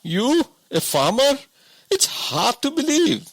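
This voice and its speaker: A man's voice: very high-pitched, very animated, slow, fairly bright tone, husky, dry recording, pitched above the performer's own voice, heavily acted. A man voicing a poor, hardworking woodcutter who speaks frankly and with wonder in an audiobook story. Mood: skeptical.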